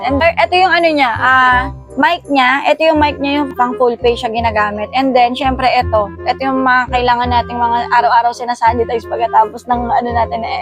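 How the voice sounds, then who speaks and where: A Filipino woman talking casually and playfully to viewers as she presents a product in an unboxing vlog. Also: on the high side. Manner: playful, giggly, chatty with exclamations. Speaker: vlogger unboxing a motorcycle intercom.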